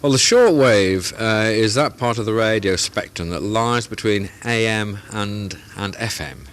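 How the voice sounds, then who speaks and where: Man, very deep voice, patient explainer, faint noise behind. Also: unhurried, a bit bright. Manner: patient, explaining in plain comparisons with restarts. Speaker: radio club founder. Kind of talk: explanatory interview answers.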